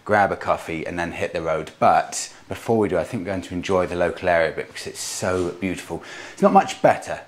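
A man's voice: sits low, lively intonation, quick pace, few pauses. A man in his thirties giving a chatty, enthusiastic tour of a small hotel room, recorded on a lapel mic. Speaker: travel vlogger. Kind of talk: casual travel vlog narration.

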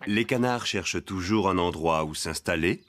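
A man narrating a children's cartoon story in a measured, scripted storytelling way. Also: low voice, a crowd in the background.

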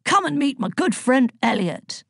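Woman acting a male god, jovial, booming, hearty, teasing, lecherous, comic storybook character. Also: expressive, unhurried, creaky, breathy edge, dry recording, clean studio sound, heavily acted.

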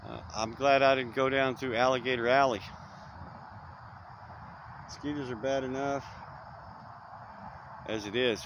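A man, rambling folksy vlog talk outdoors at dusk, recorded on a close-held phone. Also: medium pitch. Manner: rambling, folksy, trails off with fillers. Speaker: vlogger. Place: outdoors in dense brush at dusk. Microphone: phone camera held close. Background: crickets, insects and distant traffic.

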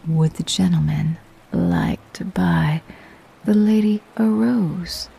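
Woman acting a languid, low seductive temptress. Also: takes it slowly, vocal fry, dark tone, breathy edge, heavily acted. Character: soft, seductive female temptress.

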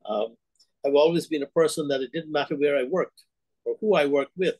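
A man's voice: on the high side, fairly quick, darkish tone, dry recording, low-quality recording. An older man from Guyana, a bank chief, telling his story on a video call with hesitant restarts and fillers. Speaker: bank CEO guest. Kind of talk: remote interview, reflective storytelling. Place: home room, indoors. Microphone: webcam on video call.

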